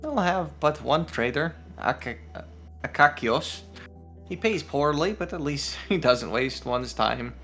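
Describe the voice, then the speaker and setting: A folksy villager from a story game, acted out by a man reading along, chatty and plain-spoken. The phrases are clipped and the pitch moves freely, with some gravel. Music plays faintly behind. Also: animated, slow pace, fairly bright tone, exaggerated voice.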